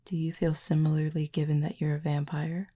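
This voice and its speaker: Woman doing a curious cupid for audio rp, soft mellow half whisper, barely rises or falls, kinda formal and dry. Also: sits low, level tone. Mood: thoughtful, curious. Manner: formal, measured, gently dry phrasing. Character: calm, curious otherworldly cupid who feeds on feelings. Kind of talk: intimate audio roleplay addressed to the listener.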